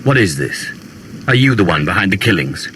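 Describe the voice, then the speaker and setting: A man voicing a stern, commanding and principled dark lord in a video game, with theatrical delivery. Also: sits low, very animated, normal speed, slight background noise, full character voice. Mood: probing. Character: male Sith lord, former slave, principled and commanding.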